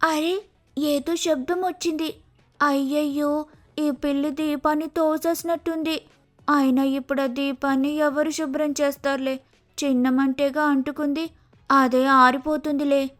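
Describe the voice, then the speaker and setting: A woman's voice: high-pitched, flat delivery, a little slow, soft background music. A woman voicing a lazy, sulky young sparrow daughter-in-law in a cartoon, with whiny, careless complaining.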